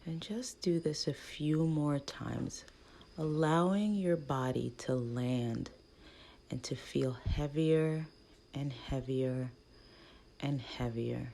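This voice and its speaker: A woman leading a guided meditation in a gentle, hushed manner, speaking in short soothing phrases with long pauses between them. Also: rumbling low, vocal fry, slight background noise.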